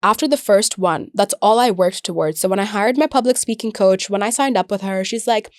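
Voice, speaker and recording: A woman's voice: mid-range voice, a little fast, no echo. Chatty young woman from the UAE, very dry sound. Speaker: podcast guest, air traffic controller and comedian. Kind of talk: casual conversational storytelling.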